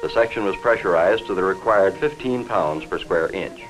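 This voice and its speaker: Low-voiced American 1950s newsreel narrator, man. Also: soft background music, rough recording. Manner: formal, measured, factual. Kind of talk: 1950s scripted industrial film narration. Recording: vintage 1950s film soundtrack.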